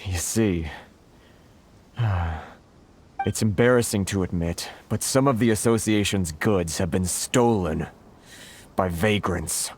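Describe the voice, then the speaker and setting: An actor voicing a worried foreign merchant in a game, who sighs often and sounds apologetic. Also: male voice, low-pitched, takes it slowly, long pauses, a little hiss or hum. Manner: fretful, sighing and apologetic. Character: anxious foreign merchant, sighing and put-upon. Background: game music.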